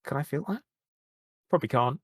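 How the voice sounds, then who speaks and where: A man, a podcast guest, talking candidly in a halting way, often repeating words and starting over. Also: mid-range voice, animated, fairly bright tone, breathy edge, dry recording, clean studio sound. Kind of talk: candid conversational chat.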